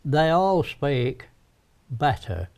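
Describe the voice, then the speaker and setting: A man's voice: on the high side, sing-song, extremely slow, a little mellow. An older man with a North East Lincolnshire accent, a guest in a studio interview, who talks in a chatty, folksy way. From North East Lincolnshire, England. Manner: chatty, folksy, with frequent restarts.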